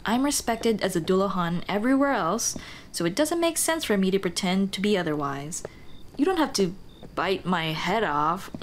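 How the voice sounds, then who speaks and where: A woman playing a shy, earnest Dullahan schoolteacher in an ASMR roleplay, speaking softly and expressively. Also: medium pitch, slight background noise, close to an everyday voice. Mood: bashful, sweet. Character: shy, earnest female Dullahan teacher, headless monster girl on a date.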